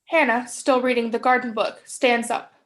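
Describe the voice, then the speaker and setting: A woman's voice: fairly high pitch, fairly even intonation, moderate pace, fairly bright tone. A young woman reading stage directions as scripted narration in a staged play reading. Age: young adult.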